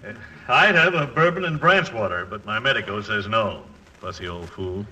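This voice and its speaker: An actor playing a crusty, hearty older college trustee, gruff and impatient, in a 1940s radio comedy. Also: male voice, on the low side, lively intonation, normal speed, pushed and bright. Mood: hearty, brusque. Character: blustery, crusty, jovial old college trustee. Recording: faintly noisy old 1940s radio recording.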